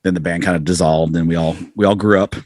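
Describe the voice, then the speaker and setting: A man's voice: sits low, fairly even intonation, fast, a little mellow, no echo. A middle-aged man chatting casually as a podcast guest, telling anecdotes in a self-deprecating way and acting out quotes. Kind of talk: casual anecdotal interview chat.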